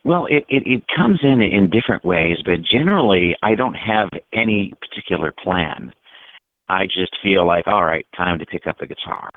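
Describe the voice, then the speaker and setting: A man speaking as an interview guest, casual and reflective, meandering thoughtfully with halting phrases. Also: deep voice, expressive, no room sound. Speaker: musician and songwriter, interview guest. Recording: thin, dry, phone-like line.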